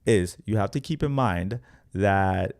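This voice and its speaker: A man's voice: lowish voice, takes it slowly, creaky, darkish tone, no echo, studio quality. A man born in Congo, hosting an explanatory market chart commentary, repeating his points emphatically and often checking in with listeners. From born in Congo, Africa. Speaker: host, crypto trading educator.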